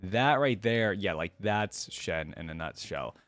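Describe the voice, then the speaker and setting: Low-voiced man, dry close sound. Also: animated, unhurried, a bit bright, no echo. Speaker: reaction video host reading lore aloud. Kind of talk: casual commentary mixed with reading story text aloud.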